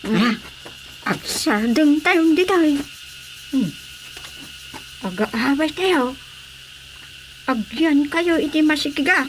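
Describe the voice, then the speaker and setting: Tiny, very high-pitched bossy character. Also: lively intonation, takes it slowly, long pauses, slight background noise, rough recording. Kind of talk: Filipino radio comedy drama acting.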